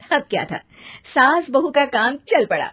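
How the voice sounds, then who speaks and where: A woman's voice: sits high, sing-song, measured pace, no room sound, phone-quality sound. A woman reading scripted narration for a story.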